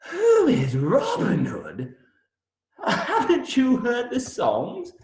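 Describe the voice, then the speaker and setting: An actor playing a young minstrel, cheery by nature but troubled, earnest and folksy in a radio play. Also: male voice, very high-pitched, huge pitch swings, drawn-out words, darkish tone, heavily acted. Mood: playful. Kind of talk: theatrical radio play acting. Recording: roomy echo.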